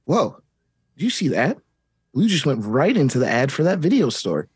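A woman's voice: very deep voice, big pitch swings, normal speed, husky, dry recording, studio quality, heavily acted. Woman reading a perky children's show host. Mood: chipper. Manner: perky, sing-song children's TV host delivery. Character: upbeat kids' show host guiding viewers through clues. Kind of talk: comedic table read of a kids' show script.

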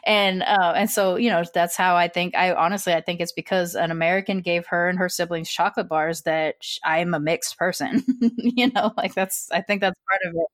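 A woman's voice: fairly low pitch, steady tone, a little fast, no room sound, studio quality. A woman guest on a podcast, speaking frankly in casual chat, telling stories bluntly with the odd swear word.

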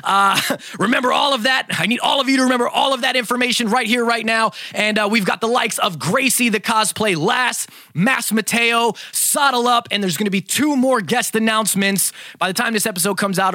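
A man's voice: high-pitched, bright, forceful tone, no room sound, clean studio sound. A young man hosting a podcast, talking in a hype, rambling way with lots of fillers, a bit congested from a cold. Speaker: podcast host. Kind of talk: casual podcast chat.

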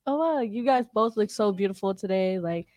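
A woman's voice: medium pitch, expressive, normal speed, darkish tone, dry recording, clean studio sound. A woman guest chatting playfully and flirtatiously in casual, slangy improvised role-play. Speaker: show guest playing pick-up role-play.

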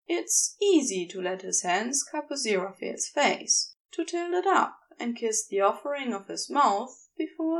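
Female audiobook-style fiction narrator: measured, expressive storytelling, very varied pitch, noticeable creak. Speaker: podfic narrator.

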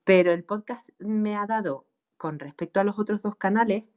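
A woman's voice: medium pitch, normal speed, a little mellow, muffled recording. A woman with a strong Canarian Spanish accent, talking casually as a guest expert, with frequent fillers and easy laughter. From Canary Islands. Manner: laughs easily, many fillers and restarts. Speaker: guest expert on online marketing for writers. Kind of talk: casual conversational talk.

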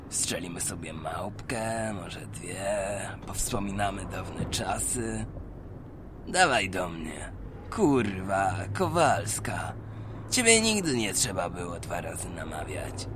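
A homeless drinker in an audio drama, cajoling like an old pal with crude slang. His low voice leaps about theatrically over noisy street ambience. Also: heavily acted. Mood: chummy, inviting. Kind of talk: colourful radio drama acting.

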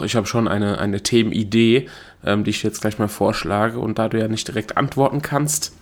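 A young adult man from Germany hosting his own solo podcast, talking in a chatty, self-correcting way and laughing at himself. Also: fairly low pitch. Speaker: podcast host. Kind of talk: casual solo podcast monologue.

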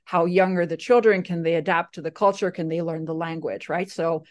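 A woman's voice: fairly low pitch, fairly even intonation, brisk. A woman from Slovakia, Slovak her first language, telling her story casually in an interview with many fillers and restarts. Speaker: guest.

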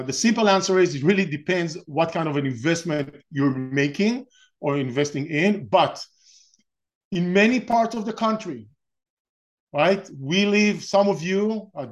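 A man's voice: a little high, highs cut off. A man in his forties from Israel with an Israeli accent, hosting an investing webinar in a rambling, explanatory way with frequent restarts. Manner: many restarts and fillers, explanatory. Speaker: webinar host. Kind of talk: webinar presentation.

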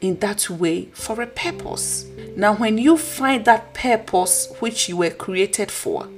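A woman with a husky voice hosting a podcast, delivering an earnest, preachy motivational talk. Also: mid-range voice, expressive, slow pace, soft background music. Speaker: podcast host.

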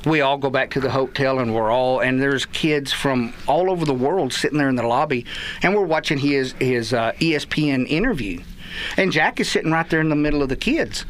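A man with a Texan accent, a guest on a radio chat show, telling lively stories and acting out the quotes. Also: mid-range voice, a little fast. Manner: animated storyteller, acts out quotes. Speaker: guest, youth baseball parent. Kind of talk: casual radio chat, storytelling.

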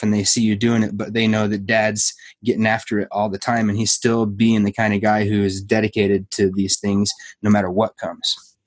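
Earnest low-voiced male podcast host. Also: no room sound. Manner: earnest, long run-on reflective sentences. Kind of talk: conversational interview.

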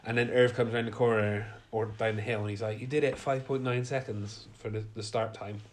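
Man, stuffy nose, lowish voice, casual podcast plot recap, faint hiss. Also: fairly even intonation, a little fast. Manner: recounts plot with many fillers, acts out quotes. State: blocked-up nose from a cold. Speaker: podcast co-host. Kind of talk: casual film recap chat.

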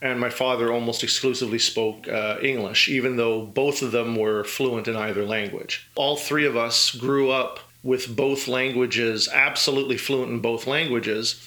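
A middle-aged man who grew up in Germany, relaxed and reminiscing in an interview, telling stories with many fillers and small chuckles. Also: mid-range voice, moderate pace, few pauses. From grew up in Germany, Canadian family. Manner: easygoing storyteller with fillers and chuckles. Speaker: interview guest.

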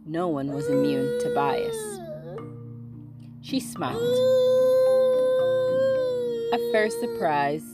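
A woman's voice: fairly low pitch, takes it slowly, loud music behind the voice. A woman podcast host who writes fiction, chatting casually about her work and then reading her own short story aloud. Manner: casual, relaxed intro, then steady storytelling.

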